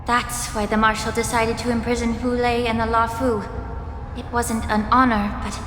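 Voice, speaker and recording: A woman's voice: fairly high pitch, level tone, moderate pace, fairly bright tone, a little hiss or hum, lightly acted. An actress playing a proud, inquisitive female warrior in a video game, with formal, pointed speech. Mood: thoughtful. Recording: echoey hall reverb, music behind. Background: game music.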